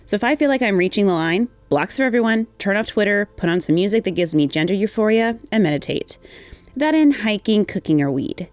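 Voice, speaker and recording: A woman's voice: mid-range voice, expressive, fairly quick, dry recording. A woman reading a personal testimony aloud as a guest contributor. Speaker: guest reader of a written community response.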